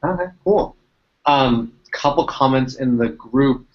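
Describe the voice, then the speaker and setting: A man moderating a casual group discussion, relaxed and hesitant as he reads out chat comments. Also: mid-range voice, slow. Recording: dull, muffled audio.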